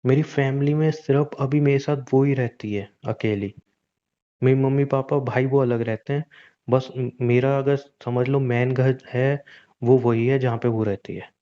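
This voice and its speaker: A man acting as a young, guilt-ridden husband in a relationship audio drama speaks with resigned earnestness. His delivery is fairly flat and gently bright-free, mellow and conversational, on dull lo-fi audio. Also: medium pitch, clean tone, natural voice. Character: weary, guilt-ridden cheating husband, resigned yet pleading. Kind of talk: naturalistic Hindi relationship audio drama, staged as a private conversation.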